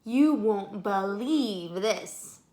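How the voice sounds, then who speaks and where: A woman's voice: normal speed, roomy sound, heavily acted. A woman voicing an eager little girl. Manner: excited, high and loud. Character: eager adventurous young girl.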